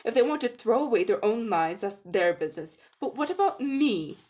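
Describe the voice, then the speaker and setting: A woman voicing a selfish, fearful old gentleman in a storybook, grumbling and full of self-pity. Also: fairly high pitch, lively intonation, normal speed, natural voice. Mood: indignant self-pity.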